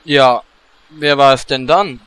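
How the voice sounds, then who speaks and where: A man's voice: mid-range voice, slow, fairly bright tone, clear, resonant tone, no echo, rough recording. A man voicing a scheming, sleazy male adventurer who talks slyly and gleefully. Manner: sly, gleeful.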